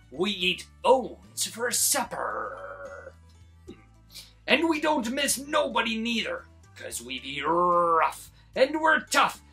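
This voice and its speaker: A man voicing a gruff but soft-hearted pirate bus driver in a storybook, growling and drawing out his pirate talk. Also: high-pitched, pitched below the performer's own voice, exaggerated voice. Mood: gruff, bossy.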